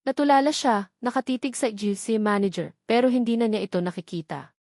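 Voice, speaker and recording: A woman's voice: a little high, fairly quick, a little mellow, dry recording, clean studio sound. A woman with a Filipino accent reading a scripted romantic drama as a storyteller. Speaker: audio drama narrator.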